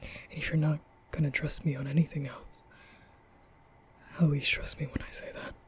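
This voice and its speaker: A man playing a nervous, gentle young vampire in an intimate ASMR roleplay, speaking hesitantly in a breathy half-whisper. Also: fairly high pitch, flat delivery, lightly acted. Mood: earnest, flustered. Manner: hesitant, stammering, slow.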